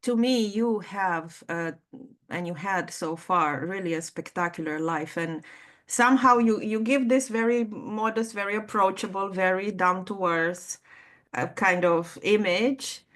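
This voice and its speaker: A middle-aged woman hosts a conversation. She asks her questions earnestly and piles on warm praise for her guest. Her voice sits at an ordinary middle pitch. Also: a little slow. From Romania. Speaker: host. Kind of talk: interview conversation.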